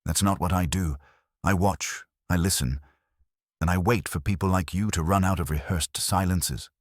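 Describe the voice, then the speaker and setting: A man voicing a quiet, unhurried police inspector in a detective audio drama, measured and softly spoken. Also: sits low, no echo, studio quality. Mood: calm, relentless.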